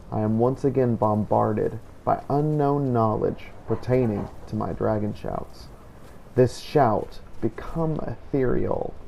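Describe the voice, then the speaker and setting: Casting: male gamer-narrator with a gravelly, mid-pitched voice, recounting a roleplay playthrough as a measured story. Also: highs cut off, slight background noise. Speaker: video game player and narrator.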